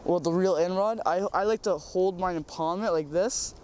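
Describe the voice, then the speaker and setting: A man's voice: sits high, moderate pace, darkish tone, a little hiss or hum. A young man with a stuffy nose from a cold, rambling through a casual fishing how-to with lots of "um"s and repeats. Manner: rambling, repeats words, says um often. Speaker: fishing tutorial host. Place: outdoors by a small farm pond.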